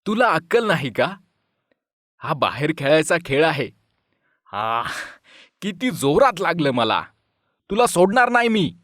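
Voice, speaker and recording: A man playing a short-tempered father in an animated moral story. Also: a little high, unhurried, long pauses, dry recording, studio quality. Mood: angry. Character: short-tempered father, cold then remorseful.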